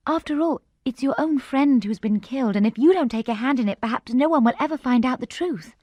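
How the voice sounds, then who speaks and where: An earnest young Englishwoman in a radio drama. Her voice is fairly high and mellow, anxious and persuasive, recorded close and dry. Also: a little fast, dry recording. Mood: anxious, pleading. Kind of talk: radio drama, reading a letter in character.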